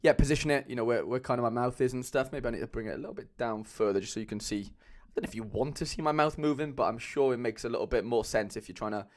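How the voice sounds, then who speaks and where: A man's voice: medium pitch, fast talker, few pauses. Chatty young man with a Cardiff accent. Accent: Cardiff Welsh. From Wales. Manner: chatty, loose, many fillers, calls viewers lads. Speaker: livestream host. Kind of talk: casual livestream chat. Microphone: mic on a boom arm.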